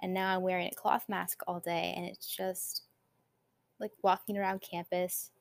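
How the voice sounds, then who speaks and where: A young woman college student hosting her own podcast, talking in a chatty, upbeat way with quick asides and a naturally quiet voice. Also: fairly low pitch, level tone, gravelly. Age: young adult. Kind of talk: casual solo podcast chat.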